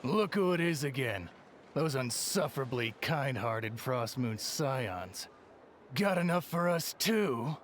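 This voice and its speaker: A man playing a sneering, menacing soldier bully in a video game, with gravel in the voice and a drawl. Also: medium pitch, sing-song, slow pace, a little hiss or hum. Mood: mocking. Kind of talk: video game villain acting.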